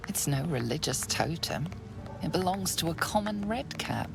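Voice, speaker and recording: A woman performing as a grave storyteller, narrating a dark fantasy game in a measured, hushed, dramatic way. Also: low-pitched, lively intonation, gravelly, music in the background, low-quality recording. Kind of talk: scripted second-person game narration.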